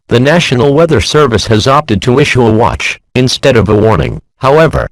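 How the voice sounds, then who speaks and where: A man's voice: mid-range voice, no echo, natural voice, poor audio. Man acting as a dry, formal emergency announcer. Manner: formal, measured. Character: official male emergency alert announcer, formal and impersonal. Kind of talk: scripted public warning bulletin.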